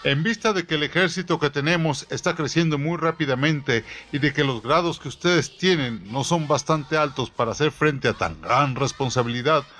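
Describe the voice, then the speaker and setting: A man's voice: on the high side, moderate pace, few pauses, fairly bright tone, close to an everyday voice. A man voicing an authoritative rebel priest and commander addressing his men formally in an audiobook. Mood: formal, commanding.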